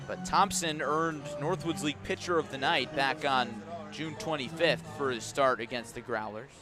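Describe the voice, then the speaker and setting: A man calling baseball play-by-play live on the radio, measured in delivery and punchy on the action. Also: medium pitch, over music. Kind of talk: live radio sports commentary. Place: outdoor ballpark.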